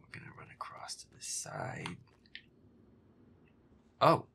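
A man giving irritable, foul-mouthed gameplay commentary in a breathy, often whispered mutter. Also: slow pace. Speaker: gamer playing a horror game in character.

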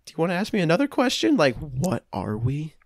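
A man's voice: fairly high pitch, wildly swooping pitch, brisk, dry recording, studio quality. Young man with wildly varied improv delivery. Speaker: improv comedy podcast performer. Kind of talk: improvised comedy role-play. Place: small room at home, video call.

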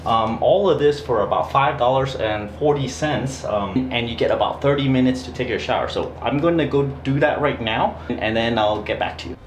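A man's voice: mid-range voice, normal speed, hardly any pauses. A man in his thirties vlogging to a camera held at arm's length, chatting and explaining costs and details as he walks around an internet cafe. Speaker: travel vlogger. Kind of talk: casual vlog talk to camera. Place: small internet cafe indoors, later a street. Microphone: handheld camera at arm's length. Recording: noisy background hum.

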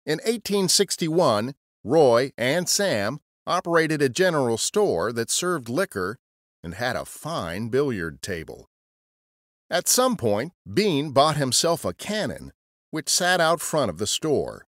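A man's voice: mid-range voice, very animated, slow pace, no echo, studio quality. A man narrating scripted history as a podcast storyteller. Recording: guitar music under the voice. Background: guitar music.

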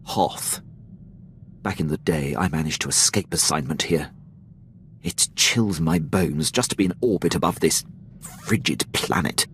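An actor playing a loyal, gruff male military officer in a video game, clipped and dutiful. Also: very deep voice, unhurried, fairly bright tone, a little hiss or hum. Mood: grim, wry. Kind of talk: scripted video game acting.

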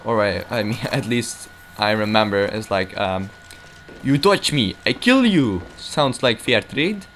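A man's voice: lowish voice, lively intonation, measured pace, a bit bright. A young man giving casual, rambling, self-mocking commentary while playing a game. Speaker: gameplay commentator. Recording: noisy game audio behind.

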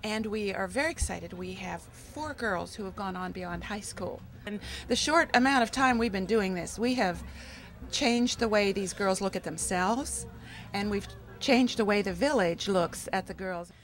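A woman's voice: mid-range voice, moderate pace. Upbeat woman describing a scholarship fund. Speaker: charity representative. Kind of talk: festival interview.

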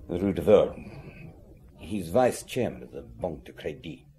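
A man's voice: sits low, expressive, normal speed, mellow, a little hiss or hum. A man playing a gossipy, hesitant café owner in a radio drama. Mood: earnest, confiding.

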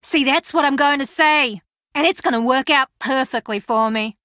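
A young woman competing on a reality show in a video game, boastful and theatrical. Her voice is fairly high and very bright, with a sassy, combative edge. The sound is dry. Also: normal speed, no echo. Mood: haughty, defiant.

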